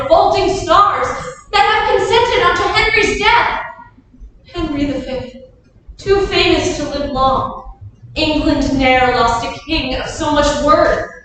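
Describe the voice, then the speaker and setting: Woman as a grieving duke, very high echoing voice. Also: a bit bright, exaggerated voice. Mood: solemn grief. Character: grieving, martial English duke and regent of France. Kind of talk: staged reading of Shakespearean verse, declamatory. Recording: very echoey hall from far off, faint noise.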